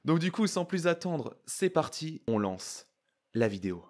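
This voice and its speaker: A man giving casual, excitable, slangy reaction commentary. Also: fairly high pitch, a little slow, heavy background noise. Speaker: YouTuber reacting to and analysing a trailer.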